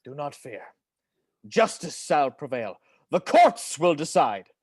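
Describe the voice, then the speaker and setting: A man acts out a dashing patriarch in a film script read-through. His voice sits fairly high and swings widely with grand, declamatory flourishes. The sound is close and dry. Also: sing-song, takes it slowly, darkish tone, no echo, exaggerated voice. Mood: solemn, grandiose. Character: dashing, theatrical, devoted family patriarch.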